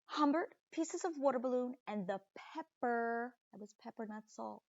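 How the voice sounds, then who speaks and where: A woman's voice: on the high side, no echo. Woman reading a storybook, dry muffled sound. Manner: deliberate, dramatic pauses, questioning the listeners. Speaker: teacher reading aloud to pupils. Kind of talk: expressive storybook read-aloud with asides to children.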